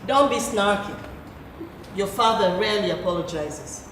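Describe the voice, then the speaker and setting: Woman playing haughty matriarch, theatrical, mid-pitched, distant from the mic, echoing. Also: lively intonation, moderate pace, fairly bright tone, natural voice. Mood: tart, wounded. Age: middle-aged. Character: haughty, tart-tongued wealthy wife and mother. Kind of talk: stage play acting. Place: theatre stage. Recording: echoey, very noisy distant theatre recording.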